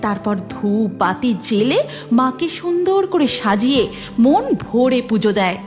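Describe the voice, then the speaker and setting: A woman's voice: a little high, big pitch swings, measured pace, soft background music. A woman reading scripted narration for a devotional cartoon story.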